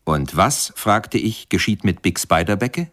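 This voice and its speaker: A man's voice: fairly low pitch, lively intonation, unhurried, fairly bright tone. An actor playing a traveller who narrates his memories in a formal, ornate way in a literary radio play. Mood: measured, wry. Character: male narrator, a traveller recalling jazz years.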